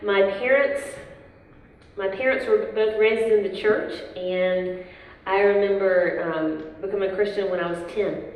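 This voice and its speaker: A young woman with a Southern American accent, a worship leader chatting casually in an interview, self-deprecating and quick to laugh. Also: mid-range voice, fairly even intonation, a little mellow. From southern United States. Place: large hall at a worship event. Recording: echoey hall, voice from far off, faint noise.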